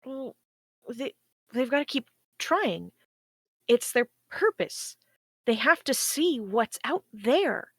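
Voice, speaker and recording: A woman's voice: fairly high pitch, sing-song, slow, husky, no room sound, full character voice. A woman in a table read playing a naive, hopeful, newly awakened space probe, earnest and pleading. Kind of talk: table read of science fiction script.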